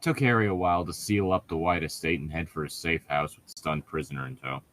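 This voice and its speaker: A man's voice: very deep voice, quick pace, fairly bright tone. A man reading fan fiction aloud and breaking off into sarcastic, sweary commentary with an exasperated, mocking manner.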